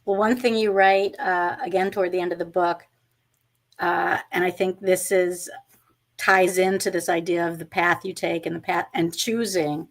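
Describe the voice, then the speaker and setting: A woman's voice: fairly low pitch, little pitch movement, normal speed. Appreciative woman interview host. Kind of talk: interview hosting, reading excerpts aloud.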